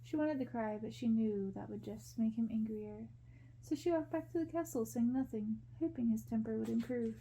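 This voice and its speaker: A woman reading a story aloud in a gentle, measured ASMR style. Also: a little high, a little hiss or hum, low-quality recording.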